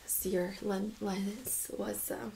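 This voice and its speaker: A young woman speaking softly, mostly in a whisper, gently giving instructions in an ASMR medical role-play. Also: medium pitch, little pitch movement, slow pace, creaky, a little hiss or hum. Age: young adult. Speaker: ASMR creator playing a medical examiner. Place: small indoor room set up as exam room.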